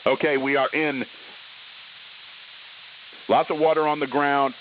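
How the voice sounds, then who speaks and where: A man's voice: medium pitch, steady tone, a little slow. A man playing a police officer reporting a search over the radio in an amateur audio drama. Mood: businesslike. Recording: tinny narrow radio sound, faint hiss.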